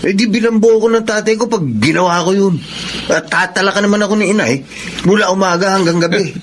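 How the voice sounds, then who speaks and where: A man's voice: sits high, fairly even intonation, fast, slight background noise. A man playing a cheerful, studious teenage boy in a Filipino radio drama. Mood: earnest.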